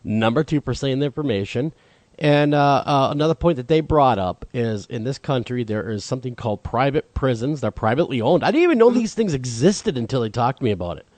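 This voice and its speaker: A man's voice: medium pitch, lively intonation, a little fast, darkish tone, no room sound. A man co-hosting a talk podcast, chatty and agreeable, his voice a bit froggy from a cold. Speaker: podcast co-host. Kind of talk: casual discussion.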